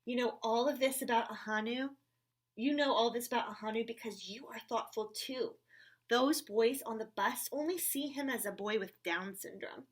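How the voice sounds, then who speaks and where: Encouraging storybook dad, read by a woman, husky. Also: fairly high pitch, moderate pace, lightly acted. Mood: proud, encouraging. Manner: gentle, encouraging. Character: kind, supportive, encouraging father. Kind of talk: children's storybook reading.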